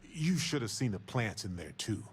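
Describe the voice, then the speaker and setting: Wildly animated space explorer man. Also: fairly low pitch, normal speed. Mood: playful, excited. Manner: playful, theatrical storytelling. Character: excitable, boastful male space explorer telling tales. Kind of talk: video game character dialogue. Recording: faint background noise.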